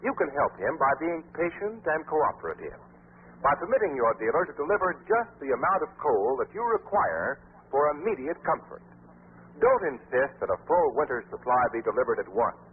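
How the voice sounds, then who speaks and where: A man's voice: on the high side, very animated, moderate pace. A man announcing in an emphatic, declamatory 1940s radio style, as for a mystery thriller series. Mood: earnest, reassuring. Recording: tinny, faintly noisy 1940s radio recording.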